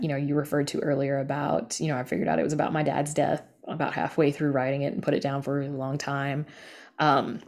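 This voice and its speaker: An American woman talks candidly in a casual interview. Her voice sits low and has a gravelly fry at the edges. She is informal and unscripted, like a guest sharing her own work. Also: a little mellow. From the American South. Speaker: interview guest, horror writer and podcast creator.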